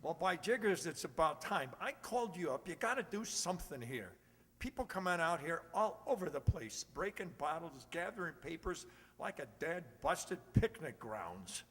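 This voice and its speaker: Man doing a cranky high-pitched old superintendent. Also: very animated, normal speed, a bit bright, slightly rough, heavily acted. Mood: indignant. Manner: grumbling, spluttering, folksy mild oaths. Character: cranky old waterworks superintendent, irascible woman-hater. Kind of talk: old-time radio comedy acting. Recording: reverberant hall, stage re-enactment.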